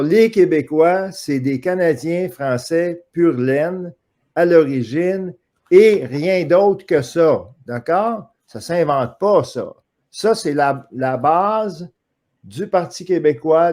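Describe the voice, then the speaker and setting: A man with a Quebec French accent, a guest in a live online interview, explaining history in a measured, lecturing way with frequent hesitations over a video call. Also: a little high, mellow.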